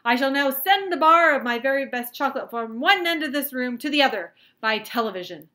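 A woman reading a children's storybook, voicing an excitable, eccentric male chocolate inventor who commands and exclaims like a showman. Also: a little high, normal speed, voice pushed up. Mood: excited, boastful.

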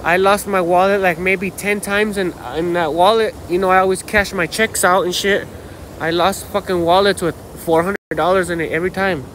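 An American man with a notably high voice rambles casually in slang and swearing, full of swagger. He is talking into a phone outdoors, with light ambient noise behind him. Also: fairly even intonation, normal speed, fairly bright tone, rough recording. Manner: slangy, profane, boastful, with repeated catchphrases. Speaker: vlogger. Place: outdoors on a dirt path by a canal. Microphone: phone held at arm's length.

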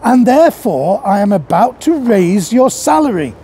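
A man's voice: sits high, sing-song, moderate pace, mellow, slight background noise. A man voicing an elderly reformed miser, coaxing and then jovial. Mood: mock-gruff. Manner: coaxing, then mock-gruff, then beaming. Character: miserly old man turned jolly, eager.

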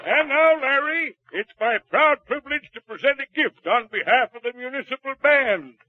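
A proud small-town mayor with a very high voice and hearty, ceremonious delivery. It is old radio comedy, dry and tinny through a narrow band. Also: male voice, lively intonation, normal speed, no echo. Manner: hearty, formal speechmaking. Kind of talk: 1940s radio comedy acting.

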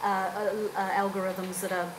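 A woman's voice: medium pitch, completely flat delivery, moderate pace. Direct, opinionated woman panelist. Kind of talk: panel discussion. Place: university lecture room. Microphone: table microphone. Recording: room with background hum.

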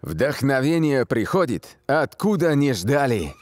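A man voicing a calm, wise soccer team captain in an animated cartoon dub. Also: mid-range voice, unhurried, no room sound, clean studio sound. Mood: wise, encouraging. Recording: music behind the voice.

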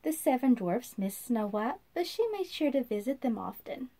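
A woman in her thirties reading a children's storybook aloud in an expressive, sing-song manner, as if to young listeners. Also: a little high, moderate pace. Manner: sing-song, animated, asks listeners questions. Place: small indoor room.

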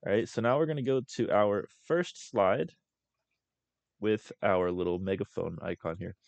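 A young man hosting a casual screen-recorded software tutorial as a voice-over. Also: fairly low pitch, moderate pace, vocal fry, no room sound. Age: young adult. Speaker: tutorial host.

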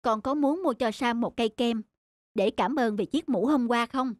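A woman playing a gentle, patient, caring young mother in an animated cartoon. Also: fairly high pitch, moderate pace, dry recording, studio quality, natural voice.

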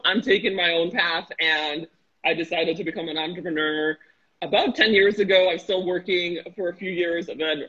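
Bright, chatty woman on a lo-fi video call. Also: low voice, husky. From former Soviet Union, raised in Chicago. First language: Russian. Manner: animated and talkative, with many fillers and restarts. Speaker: guest, marketing entrepreneur. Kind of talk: casual interview chat. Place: small room with a glass wall, indoors. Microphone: laptop webcam on a video call.

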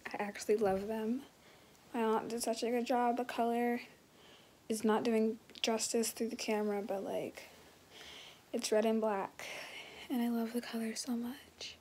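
A teenage girl chatting casually to viewers in a vlog, her voice a bit raspy, filmed on a phone held close in a small bedroom. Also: fairly high pitch, little pitch movement, a little slow, long pauses, dark tone, a little hiss or hum. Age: late teens. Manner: chatty, rambling, addresses viewers as guys. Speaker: vlogger. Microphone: phone held close at arm's length.

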